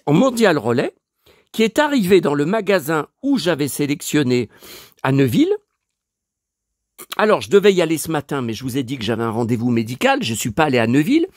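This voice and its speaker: A man's voice: a little high, dry recording, studio quality. A man in his fifties, stuffy from a lingering cold, rambling to his viewers with lots of hesitations and restarts. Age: 50s. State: dry mouth. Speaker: collector vlogger. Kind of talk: rambling casual monologue to viewers.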